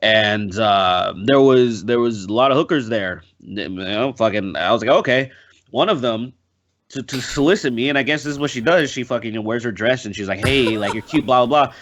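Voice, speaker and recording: A man in casual podcast chat, rambling and halting, swearing freely. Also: mid-range voice, a bit bright. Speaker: podcast guest. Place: indoor room on a group video call.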